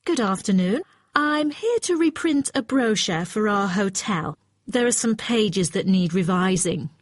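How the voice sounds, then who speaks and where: A woman's voice: mid-range voice, expressive, a little slow, no echo, studio quality. A woman playing an exacting, matter-of-fact hotel client in a scripted listening-test dialogue. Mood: businesslike, firm. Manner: formal, precise, matter-of-fact. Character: brisk, exacting female hotel client requesting brochure revisions.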